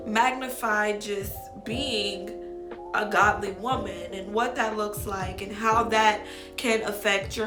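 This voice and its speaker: A young woman from Texas, a content creator, chatting candidly to camera in a casual vlog and calling her viewers y'all. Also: mid-range voice. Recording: echoey room with music playing.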